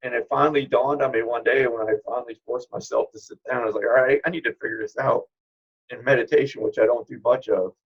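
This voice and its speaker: Interview guest, male, middle-aged. Husky and creaky, reflective, conversational delivery. Slightly muffled, low-fidelity recording. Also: steady tone, quick pace. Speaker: guest, spirit medium. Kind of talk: informal interview talk.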